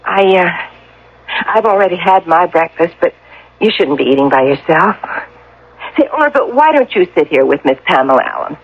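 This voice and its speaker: A woman's voice: on the low side, animated, normal speed, breathy edge. Chatty folksy resort lady, breezy and pushy-friendly, old radio play, sounds muffled. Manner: breezy, insistent hospitality. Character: chatty, folksy, reassuring woman who works at a resort. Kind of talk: radio drama acting.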